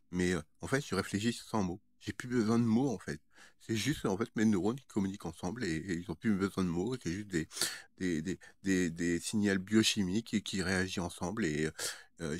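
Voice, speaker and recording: A man with a fairly low voice, recorded close and dry. He rambles informally to his viewers, halting often and repeating words as he searches for the next one. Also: no echo. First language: French. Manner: hesitant, halting, with frequent stammered word repetitions. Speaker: vlogger. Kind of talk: casual rambling monologue to viewers.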